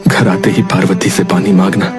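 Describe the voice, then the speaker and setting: A man's voice: low voice, little pitch movement, moderate pace, natural voice. A man voicing a poor, devoted and determined village husband in a cartoon story, with an earnest, melodramatic delivery over music. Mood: weary, resigned. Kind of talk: acted dialogue in a Hindi cartoon moral story. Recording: music behind the voice.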